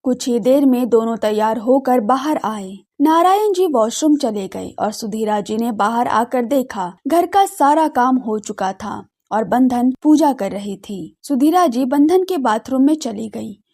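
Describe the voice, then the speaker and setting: A woman's voice: a little high, animated, measured pace, few pauses, darkish tone. A woman narrating an audio drama in a scripted storytelling style.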